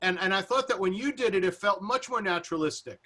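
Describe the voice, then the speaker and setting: A man's voice: high voice, fast talker, bright, forceful tone. A man giving casual, rambling commentary as a panelist, often breaking off and restarting his thoughts. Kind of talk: casual discussion of acting and film. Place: indoors, online video seminar.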